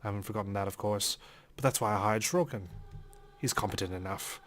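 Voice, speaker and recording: A man reading a visual novel aloud as theatrical first-person narration, in character as an indignant gentleman. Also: lowish voice, fairly quick. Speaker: let's player reading a game aloud.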